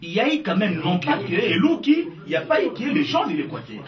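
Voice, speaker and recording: A man's voice: extremely high pitch, very animated, brisk, crowd noise. A man with a Congolese French accent giving emphatic interview answers and mixing in Lingala. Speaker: guest. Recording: echoey, distant, dull sound.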